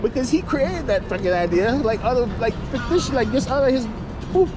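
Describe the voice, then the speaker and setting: A man's voice: extremely high pitch, very animated, fairly quick, poor audio. A man with a Belizean accent arguing bluntly in a street debate, swearing freely as he makes his points. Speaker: vlogger. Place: busy street outdoors. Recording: loud street traffic noise.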